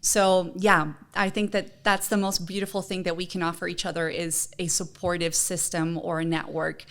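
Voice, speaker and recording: Woman podcast host, slightly low voice. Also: flat delivery, normal speed, creaky, a bit bright. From Honduras. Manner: earnest, encouraging. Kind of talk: casual, personal podcast chat.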